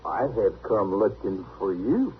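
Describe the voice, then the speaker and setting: A man's voice: fairly low pitch, sing-song, slow. A half-wild backwoods man in a vintage radio western, his Southern drawl swinging broadly up and down. He is artless, good-natured and obstinate, heard through a narrow, slightly hissy old recording. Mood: eager. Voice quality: rustic drawl. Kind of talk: 1950s radio western acting. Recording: band-limited, noisy old radio recording.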